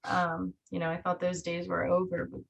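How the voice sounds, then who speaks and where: A woman's voice: lowish voice, monotone, normal speed, vocal fry, rough recording. A woman chatting in an encouraging, informal way as co-host of an online team meeting. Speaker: real estate trainer co-hosting a meeting.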